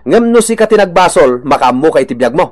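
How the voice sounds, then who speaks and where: A man's voice: fairly high pitch, expressive, fast. A man playing an insistent, admonishing accuser with an Ilocano accent in a radio drama. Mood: accusing.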